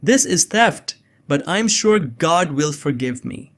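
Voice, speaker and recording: A man playing a nerdy, anxious young man on the run, narrating a suspense story in a measured, earnest way. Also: on the high side, animated, a bit bright. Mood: tense, measured. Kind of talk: first-person scripted narration of suspense fiction. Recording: music behind the voice.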